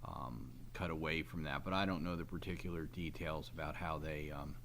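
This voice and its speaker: A man with a deep but forceful, bright voice talks in a meeting discussion, slightly noisy behind him. He answers hesitantly, adding qualifications as he goes. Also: steady tone, moderate pace. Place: town committee meeting room. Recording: noisy meeting room recording.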